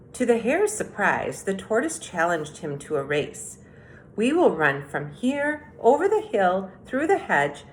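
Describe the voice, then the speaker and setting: A woman speaking as an elementary school teacher, giving a lesson and reading aloud to young children in a deliberate, instructive way. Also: mid-range voice, slight background noise.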